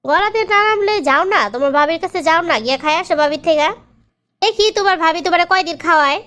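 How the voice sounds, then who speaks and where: Woman acting a quarrelsome young wife, cartoon comedy, very high voice, rapid, repetitive nagging, Bangladeshi Bengali accent. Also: a bit bright. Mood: indignant. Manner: complaining, repeats words. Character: quarrelsome, stubborn young wife and sister-in-law. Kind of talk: cartoon family comedy drama.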